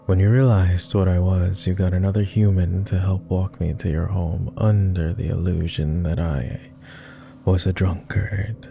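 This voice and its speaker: A man playing a weary, courtly vampire lord in an ASMR roleplay, murmuring formally and hesitantly with many pauses, close to the microphone. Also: low voice, level tone, vocal fry, dark tone. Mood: puzzled.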